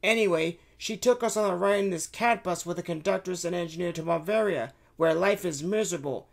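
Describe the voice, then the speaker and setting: A man's voice: sits high, a little fast, creaky, pitched above the performer's own voice. A man doing an eager young boy. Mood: excited. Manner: excited, breathless storytelling. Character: eager young boy telling his adventure.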